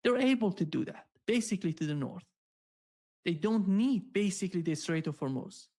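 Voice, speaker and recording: A man's voice: sits high, lively intonation, moderate pace, dark tone. A man hosting a political commentary, speaking emphatically and repeating words for stress. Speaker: host.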